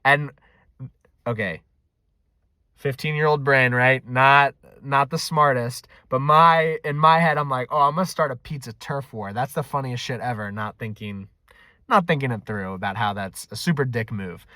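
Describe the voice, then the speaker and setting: A man's voice: mid-range voice, moderate pace, bright, forceful tone, dry recording. A man telling anecdotes in a casual, rambling, self-deprecating way, swearing freely as he hosts a video. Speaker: video host. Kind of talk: casual rambling storytelling to camera.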